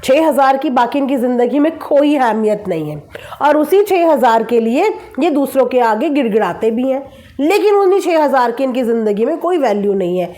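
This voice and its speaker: High-pitched woman, sarcastic commentary. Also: lively intonation, moderate pace, warm tone. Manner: sarcastic, with rhetorical questions and emphatic repetition. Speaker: vlogger commenting on online creator gossip. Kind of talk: opinionated commentary addressed to viewers.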